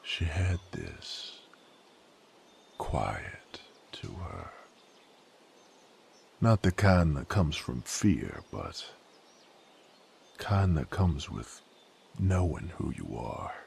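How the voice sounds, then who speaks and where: Man acting very deep, gravelly brooding knight. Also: very animated, a little mellow, a little hiss or hum, heavily acted. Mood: wistful, subdued. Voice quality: very low, gravelly. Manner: slow, weary, folksy rough diction. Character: brooding, rough male knight with a violent past. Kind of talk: dramatic character monologue, audio roleplay.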